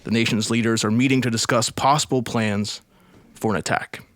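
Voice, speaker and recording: A man's voice: medium pitch, fairly even intonation, fairly quick, fairly bright tone, no room sound, natural voice. A man playing a grave news announcer in an old-style radio drama, reporting an invasion in formal broadcast style. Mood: grave, urgent.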